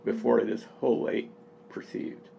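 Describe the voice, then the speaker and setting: A man speaking off the cuff in literary appreciation of a book, with halting, deliberate, thoughtful phrasing. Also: mellow. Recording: dull, lo-fi sound with faint noise.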